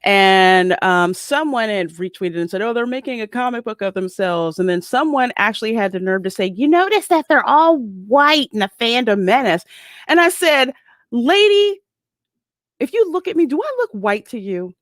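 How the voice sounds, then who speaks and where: A woman's voice: fairly high pitch, lively intonation, normal speed, dry recording, studio quality. A woman speaking as a panelist in a casual chat, conversational and outspoken.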